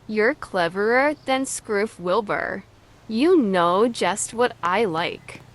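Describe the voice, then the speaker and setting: Storybook witch, performed by a woman: bossy, excitable, exclamatory, medium pitch, taking her time. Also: slow. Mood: pleased. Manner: exclamatory, emphatic. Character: excitable witch, fussy and bossy pet owner.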